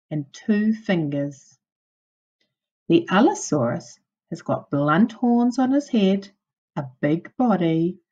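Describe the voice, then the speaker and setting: A woman with a lowish, softly mellow voice reads a children's non-fiction book like a primary teacher. Her intonation moves up and down a lot, and her phrasing is instructive and easy for young listeners to follow. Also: muffled recording. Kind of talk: children's non-fiction read-aloud lesson.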